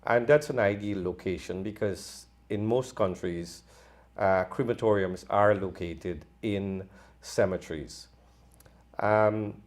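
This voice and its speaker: A man in his fifties from Guyana, a doctor, answering interview questions in a calm, measured way. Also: fairly low pitch. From Guyana, New Amsterdam. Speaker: medical doctor, crematorium co-owner. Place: studio.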